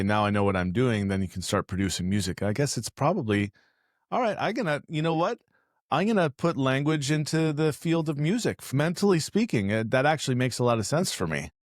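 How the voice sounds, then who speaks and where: A man's voice: mid-range voice, dry recording, clean studio sound. Chatty joking male podcast host. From Southern California. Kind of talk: casual interview chat.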